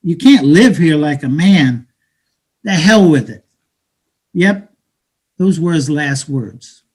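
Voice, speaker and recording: A man in a play reading, playing a cynical, bitter, streetwise Brooklyn-born man who talks in halting slang. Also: a little high, lively intonation, husky, exaggerated voice. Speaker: stage actor.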